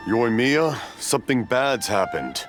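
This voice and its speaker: Excitable old father, lively intonation. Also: mid-range voice, a little slow, over music. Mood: agitated. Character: excitable elderly father, hard of hearing. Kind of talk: animated video game voice acting.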